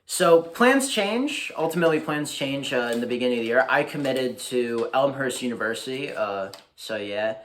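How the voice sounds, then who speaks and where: A young American man chatting casually to his viewers in a halting, informal way, thinking aloud as he goes. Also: medium pitch, expressive, fairly bright tone. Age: young adult. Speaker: YouTuber. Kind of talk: casual vlog chat to viewers. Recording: music playing behind the voice. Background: background beat music.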